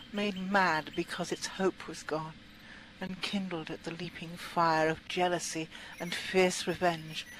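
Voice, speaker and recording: A woman reading poetry aloud in an expressive, measured and dramatic manner. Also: on the low side, slight background noise, low-quality recording. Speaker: poetry reader.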